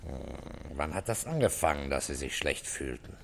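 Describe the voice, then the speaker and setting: An actor playing a brusque police commissioner in a German radio play, speaking in terse, probing questions and short grunts. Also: male voice, a bass voice, animated, moderate pace, creaky, a bit bright, heavily acted. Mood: probing, calm.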